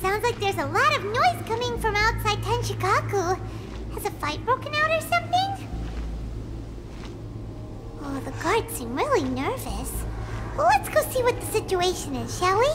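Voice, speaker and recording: A woman's voice: extremely high pitch, sing-song, moderate pace, pauses often, loud music behind the voice. A woman voicing a tiny, anxious, chatty fairy girl companion in a video game, with a childlike way of talking. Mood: worried, curious. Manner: childlike, refers to herself in third person.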